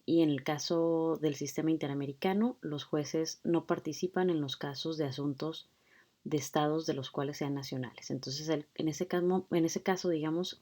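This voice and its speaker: Woman lecturer, deep for a woman, conversational explainer with fillers. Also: mellow. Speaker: law lecturer. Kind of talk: explaining a court hearing video.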